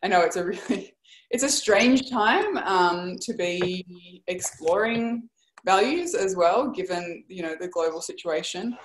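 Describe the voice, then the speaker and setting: Woman, host, effusive, chatty, fairly low voice, echoey video call audio, faint background noise. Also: measured pace. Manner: effusive, chatty, thinking aloud. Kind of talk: casual group video chat.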